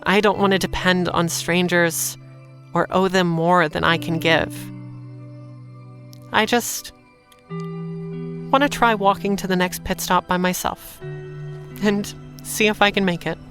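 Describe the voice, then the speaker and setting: A woman's voice: lowish voice, fairly even intonation, takes it slowly, pauses often, a bit bright, faint music behind. An actress playing a guarded, independent young woman drifter, speaking dryly in a noir audio drama. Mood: reflective, resolute. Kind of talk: audio drama acting with noir first-person narration.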